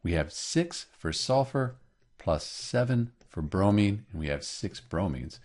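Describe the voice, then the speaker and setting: A man narrating a scripted tutorial as a teacher, explaining clearly step by step. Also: on the low side, very animated, measured pace, a little mellow. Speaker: chemistry teacher, tutorial host.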